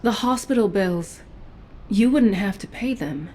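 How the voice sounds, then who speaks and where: An actress playing a stern but quietly caring female special forces agent in video game dialogue, measured, dry and matter-of-fact. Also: medium pitch, expressive, a little hiss or hum, natural voice.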